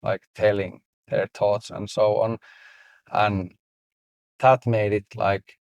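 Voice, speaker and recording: Slow-talking Finnish man, low voice. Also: flat delivery, gravelly, darkish tone, dry recording, lo-fi sound. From Finland. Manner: unhurried, many "like" fillers. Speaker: podcast co-host, startup founder. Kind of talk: casual conversation.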